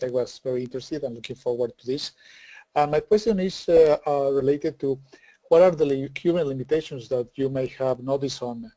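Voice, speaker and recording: A man's voice: mid-range voice, dark tone, no echo. A man asking a polite question as an audience member in an online session, over dull call audio.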